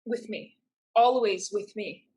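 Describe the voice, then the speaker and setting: A woman's voice: mid-range voice, slow pace, mellow, close to an everyday voice. A woman playing a bold, headstrong, defiant young survivor in a staged play reading, theatrical and emphatic. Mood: persuasive.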